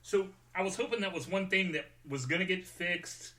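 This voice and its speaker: A man's voice: high voice, moderate pace, bright, forceful tone. A man hosting a podcast alone, rambling casually and informally with joking asides. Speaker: podcast host.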